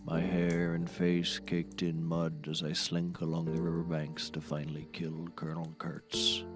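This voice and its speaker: A man's voice: deep voice, completely flat delivery, unhurried, over music. A man playing a folksy, eccentric, self-serious storyteller in a scripted comedy, rambling in a grandiose way. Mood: smug, musing. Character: folksy, eccentric, self-serious male roast speaker telling tall tales. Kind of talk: scripted audio comedy acting.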